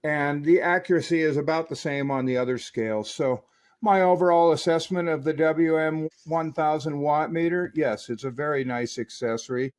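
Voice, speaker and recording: A man hosting a hands-on equipment review, explaining technical details in a measured, step-by-step way. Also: on the high side. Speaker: host of a radio equipment review channel. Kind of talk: product review and technical demonstration narration.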